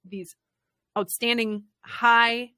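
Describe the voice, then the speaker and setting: A woman's voice: fairly high pitch, little pitch movement, extremely slow, pushed and bright, clear, resonant tone, dry recording. Woman, podcast co-host, giggly, chatty, dry studio sound. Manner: giggly, chatty, trails off and restarts often. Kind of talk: casual chat.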